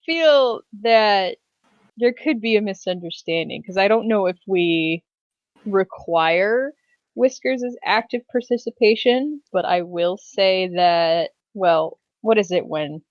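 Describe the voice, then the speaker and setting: A woman's voice: medium pitch, slow pace, no room sound. A woman from New England chatting casually as a player in a tabletop game, on slightly muffled audio. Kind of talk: casual chat during a game.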